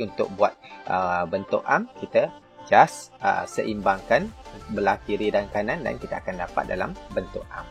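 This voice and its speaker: A man with a Malaysian Malay accent teaching maths, working through textbook examples step by step with fillers and self-corrections. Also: mid-range voice. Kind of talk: explaining a textbook lesson.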